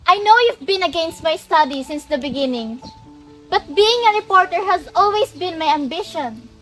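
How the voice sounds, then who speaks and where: A woman's voice: extremely high pitch, animated, normal speed, fairly bright tone, soft background music. A woman playing a weary, earnest young student in an amateur radio drama, with a Filipino accent and a pleading manner. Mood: dejected, pleading.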